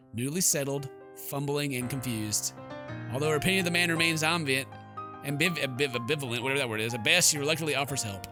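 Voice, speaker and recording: A man hosting a gaming video, reading story text aloud in a dramatic way and breaking off into casual, jokey asides. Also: a little high. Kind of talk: let's play, reading game text aloud with casual reactions. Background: game music.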